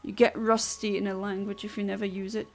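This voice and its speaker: Woman, gravelly fry, blunt and literal, casual streamer chat. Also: mid-range voice, level tone, a little fast, darkish tone, faint music behind. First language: Luxembourgish. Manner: blunt, literal and chatty, with many restarts. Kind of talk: casual chat answering a livestream chat.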